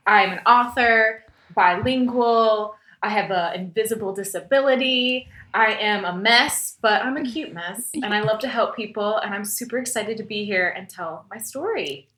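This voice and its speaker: A woman from Michigan with a Northern US accent and a slight Southern twang, chatting as a podcast guest in an animated, self-deprecating way. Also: mid-range voice, moderate pace, reverberant. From Michigan, USA. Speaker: guest, sex therapist. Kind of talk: casual podcast interview.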